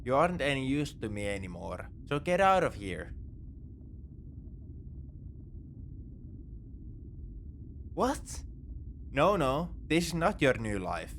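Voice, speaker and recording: A man's voice: medium pitch, big pitch swings, normal speed, a bit bright. Man playing a grudging space pirate over noisy ambience. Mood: incredulous, irritated. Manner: exasperated, dismissive, teasing. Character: gruff, begrudgingly soft-hearted adult male space pirate captor. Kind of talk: sci-fi ASMR roleplay acting, addressing the listener.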